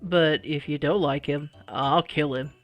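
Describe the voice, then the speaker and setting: A brooding teenage boy from a visual novel speaks with possessive seriousness, as a woman puts him on. His delivery is slow, grave and deliberate. Faint music plays behind. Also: sits low, fairly even intonation, pitched below the performer's own voice. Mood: menacing. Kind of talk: visual novel character reading.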